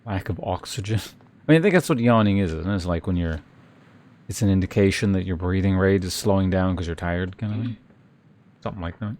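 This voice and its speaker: A man with a fairly low voice talks through a game for a live stream. He muses casually and hesitantly, taking his time between thoughts and sometimes reading out on-screen text. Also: fairly quick, darkish tone.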